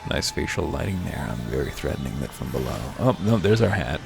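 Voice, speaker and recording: Very deep, gravelly man narrating gameplay. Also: sing-song, brisk. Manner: wry, offhand, reads game text aloud. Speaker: let's play host. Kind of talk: casual gameplay commentary. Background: faint game music.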